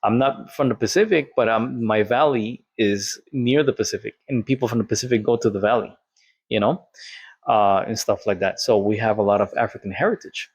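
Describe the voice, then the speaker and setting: A man's voice: lowish voice, brisk, slightly rough, dry recording. A man, telling a personal story in a candid, conversational monologue and quoting what others said. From Colombia. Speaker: vlogger.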